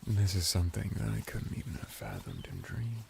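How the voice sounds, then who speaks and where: Casting: male actor as a courtly, flirtatious merman for intimate ASMR roleplay; very low register, crackling vocal fry. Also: heavily acted. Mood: reverent, calm. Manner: soft-spoken, intimate, formal flattering speech with lingering pauses. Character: flirty, calm male merman bathhouse attendant, courtly and seductive. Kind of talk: ASMR roleplay addressed to the listener.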